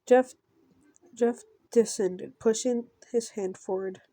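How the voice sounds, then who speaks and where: A woman reading visual novel text aloud in an amateur let's play, halting and often repeating words as she goes. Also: a little high, steady tone, mellow, no room sound.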